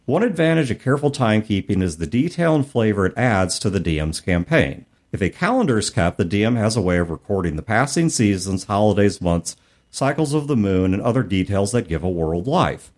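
A man co-hosting a podcast in a casual, explanatory way, animated and expressive as he talks and reads aloud. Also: on the low side, brisk. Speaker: podcast co-host. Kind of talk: casual chat about tabletop gaming, reading passages aloud.

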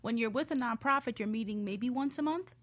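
Female board-training expert on a panel, brisk and instructive, slightly high pitch. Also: a bit bright. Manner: instructive, explains with examples. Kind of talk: conversational panel discussion.

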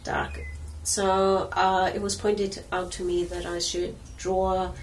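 A woman from Iceland in casual chat, gently asking about a drawing with hesitant phrasing. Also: lowish voice, level tone, takes it slowly. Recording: distant mic with faint background noise.

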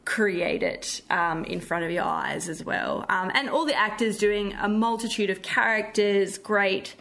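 Woman, theatre director in a relaxed interview, enthusiastic and informal, mid-pitched voice. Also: unhurried, gravelly. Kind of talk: casual interview chat.

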